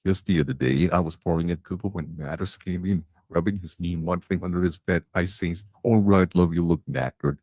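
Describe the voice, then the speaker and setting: A man's voice: low voice, steady tone, moderate pace, few pauses, dark tone, husky, dry recording. A man playing a gossiping canteen tea lady, chatty and blunt with plenty of slang.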